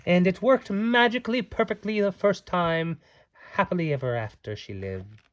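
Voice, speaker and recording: Playful man reading a storybook, very animated. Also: sits high, moderate pace, lo-fi sound, background noise. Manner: playful, theatrical asides. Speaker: parent reading aloud to children. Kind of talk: animated storybook read-aloud.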